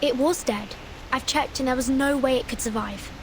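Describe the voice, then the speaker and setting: A woman voicing a calm, determined young female investigator in a video game, terse and measured. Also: sits high, background noise. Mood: focused, dry.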